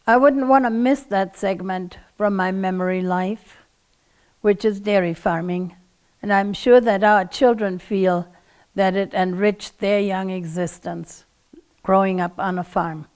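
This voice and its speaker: An elderly woman in her eighties, a native German speaker with a German accent, giving a prepared talk in a measured, formal manner. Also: medium pitch, fairly even intonation, darkish tone, clear, resonant tone. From Czechoslovakia and Germany. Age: 80s. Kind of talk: prepared talk, personal recollection and presentation.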